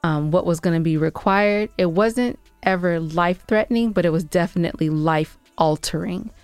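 Smooth low-voiced American woman, dry close mic. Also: moderate pace, a little mellow, no echo, soft background music. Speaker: podcast co-host. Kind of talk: casual podcast banter and show updates. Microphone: close podcast microphone.

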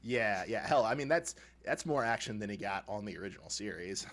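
A man co-hosting a podcast, chatting casually and comically as a fan, with animated, informal, chuckling banter. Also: lowish voice, a little fast. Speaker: podcast co-host. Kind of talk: casual comedic fan discussion.

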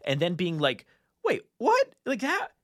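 A man's voice: high voice, wildly swooping pitch, unhurried, no echo, clean studio sound. A man hosting a podcast, chatting casually and enthusiastically, animatedly acting out his own reactions. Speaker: podcast host.

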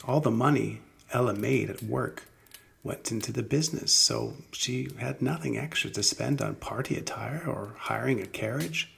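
Male storybook reader with a markedly gravelly, creaky voice, deliberate and expressive. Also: mid-range voice. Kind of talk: scripted children's story narration.

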